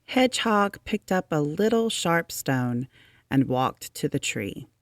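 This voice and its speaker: A woman reading a children's story aloud as an expressive storyteller. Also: low-pitched, slow, no echo, clean studio sound.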